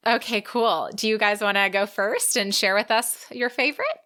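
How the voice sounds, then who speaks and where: A woman's voice: medium pitch, normal speed, pushed and bright. A woman hosting a casual book discussion podcast in a gushing, enthusiastic manner. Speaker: podcast host.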